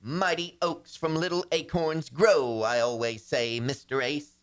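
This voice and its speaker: An actor doing a fussy middle-aged fellow in an old radio comedy, pitched high for a man. He declaims in a sententious sing-song, like a long-suffering husband reciting mottos. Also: fairly even intonation, unhurried, lightly acted.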